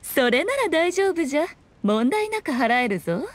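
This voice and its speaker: High, lilting, haughty fox spirit. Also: female voice, sing-song, normal speed, no room sound. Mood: amused, sly. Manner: archaic noble speech, teasing, imperious. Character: sly, haughty fox-spirit shrine maiden, elegant and teasing. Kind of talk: Japanese anime game voice acting.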